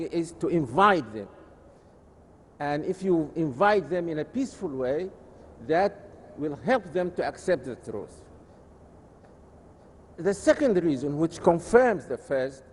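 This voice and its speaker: A man with an Arabic accent giving an Islamic lecture, explaining deliberately and repeating phrases for emphasis. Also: on the high side, a little mellow. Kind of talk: religious lecture with scripture quotations. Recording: noisy, echoey hall.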